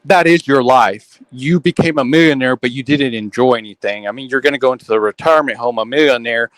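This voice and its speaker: A man hosting a casual online group chat, talking fast and often repeating his opening words. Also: mid-range voice, a bit bright, dry recording, poor audio. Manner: quick, repeats starting words, lots of "um" and "like".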